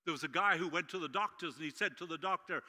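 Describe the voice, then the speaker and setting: A middle-aged man from Uruguay with a Spanish accent, preaching an animated sermon with jokes as guest speaker in a large church. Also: a little high, fast. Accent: Latin American Spanish. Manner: animated, gesturing widely while walking the stage. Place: large church sanctuary stage. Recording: long hall reverb.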